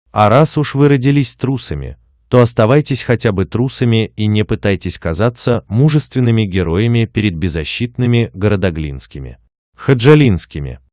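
Deep-voiced man, contemptuous voice-over. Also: a little mellow, dry recording, clean studio sound. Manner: contemptuous, insulting. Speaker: narrator. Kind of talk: scornful voice-over monologue.

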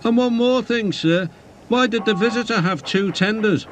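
A man's voice: high voice, moderate pace, slight background noise, pitched above the performer's own voice, lightly acted. A man voicing a pompous, grumpy big express engine in a children's storybook. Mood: worried. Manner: pompous, huffy.

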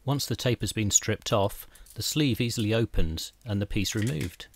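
A middle-aged man with a slightly low voice. He explains each workshop step in an even, methodical voice-over, like a careful host of his own woodworking channel. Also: normal speed. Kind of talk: scripted how-to voice-over.

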